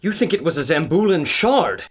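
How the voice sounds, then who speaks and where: An actor playing a principled adventurer scientist in a pulp audio drama, earnest and firm. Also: male voice, on the high side, very animated, moderate pace, slightly rough. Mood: firm defiance.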